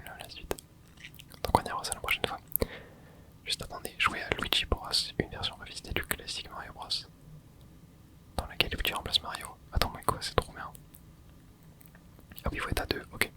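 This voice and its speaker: A host whispering casual ASMR commentary over gameplay, reacting excitedly and chatting to viewers. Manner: casual, chatty, self-correcting. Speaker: channel host.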